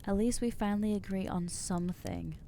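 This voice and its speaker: A woman's voice: medium pitch, brisk, mellow, slight background noise, lightly acted. A woman voicing an anxious young female vampire in an ASMR audio roleplay, talking in nervous, stammering, self-deprecating rambles. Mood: flustered.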